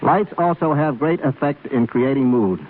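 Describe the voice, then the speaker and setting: A man's voice: mid-range voice, normal speed. A man speaking as a guest expert in a scripted 1930s radio interview, explaining things formally and with careful phrasing. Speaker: film cameraman guest. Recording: scratchy, tinny 1930s radio broadcast.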